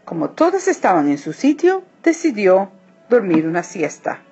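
A woman narrating a children's story expressively, with deliberate, dramatic phrasing. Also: mid-range voice, big pitch swings, darkish tone, husky. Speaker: storybook reader. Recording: muffled audio with music behind.

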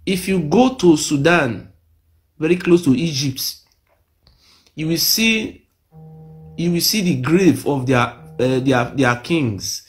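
A man's voice: a little high, lively intonation, takes it slowly, pauses often. A middle-aged Nigerian man with a Nigerian accent, speaking emphatically to a phone camera in a small room, repeating his claims insistently. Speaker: self-made video speaker. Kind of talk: impassioned monologue to camera. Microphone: phone or webcam close to face.

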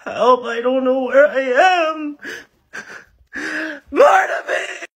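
A man voicing a lost, bewildered puppet in a comic skit, halting and plaintive. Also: very high voice, animated, heavily acted. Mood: panicked. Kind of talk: fan impression in short comic skit.

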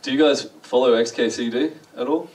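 A man's voice: mid-range voice, brisk, a little mellow, distant mic, low-quality recording. Australian man giving a casual conference talk. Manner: chatty, self-deprecating, asks the audience questions. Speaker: conference speaker. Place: large dark lecture hall.

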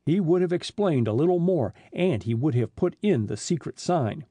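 A man's voice: on the high side, animated, normal speed, mellow, slightly rough, dry recording. A man voicing an eager, impatient teenage boy in a storybook reading. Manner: earnest, impatient.

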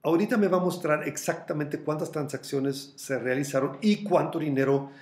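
A middle-aged man whose first language is German, hosting a crypto channel and explaining with lively hand gestures to camera in a small room. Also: a little high, moderate pace. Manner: animated, gestures with both hands while explaining. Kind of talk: explanatory video talk to camera. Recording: echoey room.

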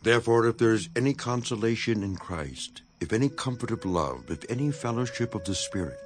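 An actor playing an earnest, devoted imprisoned apostle in a dramatized scripture reading, speaking in a solemn, measured manner. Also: male voice, mid-range voice, animated, lightly acted. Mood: solemn exhortation. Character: Apostle Paul, imprisoned, earnest, devoted elder writing to his flock.

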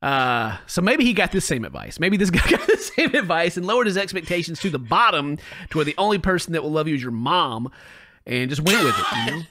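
A man in his forties giving sarcastic, animated reaction commentary from a home room on a boom microphone. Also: a little fast. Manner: sarcastic, animated, mocking voices. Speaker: reaction channel host. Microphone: studio mic on boom arm.

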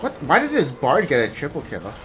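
A man's voice: medium pitch, huge pitch swings, fairly quick. A man chatting casually and teasingly in slang as he plays an online team game. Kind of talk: casual gaming banter.

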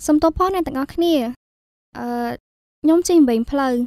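A woman's voice: high voice, rapid-fire, noisy background, lightly acted. A woman playing a young travel journalist in a radio drama. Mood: casual, chatty. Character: young female travel journalist, reserved but helpful.

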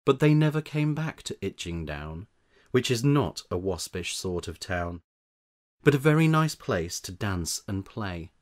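A man narrating a children's storybook in verse, with theatrical, measured delivery. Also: fairly low pitch, very animated. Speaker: audiobook narrator.

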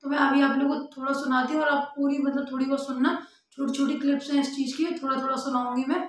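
A woman's voice: sits high, little pitch movement, quick pace. A young adult woman, explaining things casually and directly to her viewers as a vlogger. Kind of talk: casual awareness talk to camera. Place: small room at home. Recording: very echoey room, faint noise.

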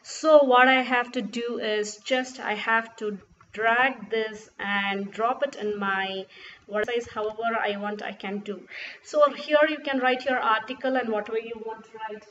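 A woman's voice: on the high side, steady tone, unhurried, fairly bright tone. Woman tutor, Urdu mother tongue, explains patiently with restarts; dull lo-fi mic, faint hiss. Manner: explains step by step, frequent fillers and restarts. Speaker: tutorial presenter. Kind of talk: screen-recorded software tutorial. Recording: dull lo-fi sound with faint noise.

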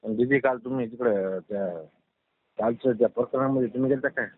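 A man's voice: medium pitch, brisk, no echo. Defensive man on a tinny phone call. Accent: rural Marathwada Marathi. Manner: defensive, placating, deferential. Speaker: caller, local party worker. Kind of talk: phone conversation.

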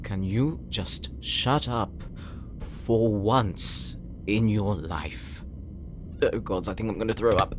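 Man acting earnest, slow-paced young navigator. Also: lowish voice, background noise. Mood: groggy, miserable. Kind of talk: scripted audio roleplay acting.

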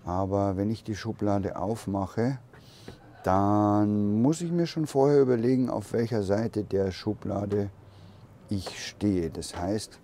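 A man giving a relaxed, unhurried walkaround tour of a camper van, thinking aloud as he goes, recorded inside the van at a trade fair hall. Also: lowish voice, a little hiss or hum.

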